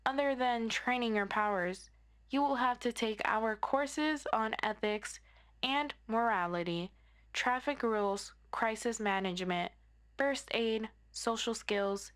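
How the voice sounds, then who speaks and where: A woman's voice: fairly high pitch, fairly bright tone, clear, resonant tone. A woman playing a friendly superhero best friend in a scripted audio roleplay, giving an orderly, encouraging orientation lecture.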